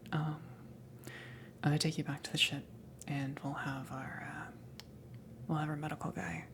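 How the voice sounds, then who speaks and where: Woman playing a softly whispering, hesitant alien rescuer. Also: deep voice, background noise. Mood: gently reassuring. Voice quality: soft, breathy whisper. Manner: hesitant, rambling, giggly. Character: friendly, curious alien salvage crewmember, shyly reassuring rescuer. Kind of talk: whispered ASMR roleplay.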